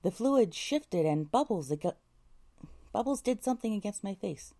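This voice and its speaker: Female narrator, soft-edged dark tone, middle register, dramatic first-person storytelling. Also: mid-range voice, animated, normal speed. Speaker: voice actor, narrator.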